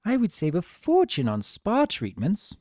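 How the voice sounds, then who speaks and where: A reader voicing a vain, refined fashionista pony mare with a genteel, dramatic and expressive delivery in a story. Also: medium pitch, measured pace, no echo, studio quality, voice pushed up. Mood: theatrical, playful.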